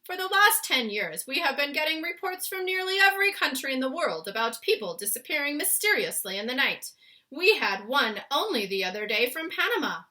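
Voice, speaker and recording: A woman's voice: high-pitched, sing-song, a little fast, bright, forceful tone, pitched above the performer's own voice, full character voice. A woman voicing a blustering, aggressive male army chief with indignant military bluster in a storybook reading. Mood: grave reporting.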